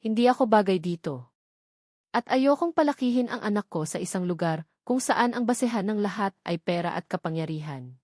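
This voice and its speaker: A woman's voice: mid-range voice, animated, fairly quick, darkish tone, no room sound, studio quality, lightly acted. A woman voicing a wary, firm young pregnant woman in an audiobook romance drama, with earnest resolve. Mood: resigned, firm.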